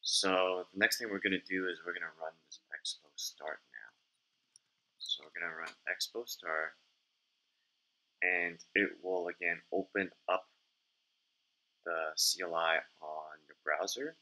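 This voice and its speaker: A man's voice: low-pitched, a little slow, long pauses, rough recording. A young man casually talking through a coding tutorial at his desk, explaining each step as he types. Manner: talks through steps while typing, relaxed and informal. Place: indoors at a desk, small room.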